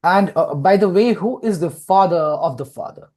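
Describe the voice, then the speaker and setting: A man hosting a literary interview in a deliberate way, often repeating his phrases. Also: high voice, mellow. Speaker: host, poet and publisher interviewing an author.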